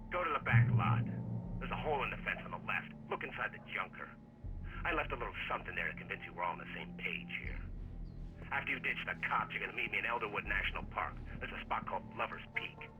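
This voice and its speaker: An actor voicing a menacing kidnapper in a video game, threatening and taunting in slangy talk. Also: male voice, lowish voice, expressive, fast, close to an everyday voice, poor audio. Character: menacing male kidnapper making threats by phone.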